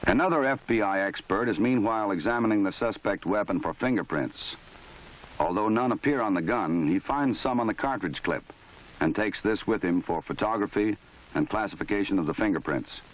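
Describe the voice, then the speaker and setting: A man with a fairly low voice delivers measured, matter-of-fact narration in a formal register. The sound is dull and lo-fi, like an old 1970s film soundtrack. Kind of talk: scripted documentary narration. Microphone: voice-over.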